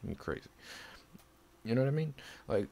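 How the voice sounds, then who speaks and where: A man from Southern California with a gravelly voice, rambling defensively in a monologue, swearing and breaking into sudden loud bursts. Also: mid-range voice, very animated, moderate pace, a little hiss or hum.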